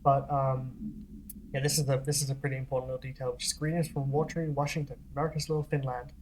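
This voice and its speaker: A let's-play host giving casual gameplay commentary in a relaxed, rambling way with frequent laughs. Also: mid-range voice, quick pace, slight background noise, poor audio.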